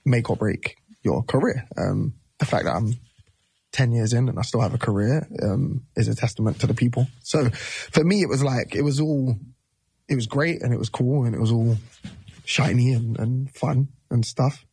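Male musician guest, 30s, husky mellow voice, dry close sound, rambling casual delivery. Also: medium pitch, moderate pace, no room sound. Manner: relaxed, rambling, with many fillers and restarts. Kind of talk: casual interview talk.

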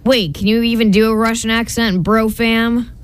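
A woman's voice: on the high side, steady tone, moderate pace, clean tone, natural voice. A woman voicing a slangy, upbeat male best buddy in a comic radio play. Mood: cocky, breezy. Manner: slangy, cocky.

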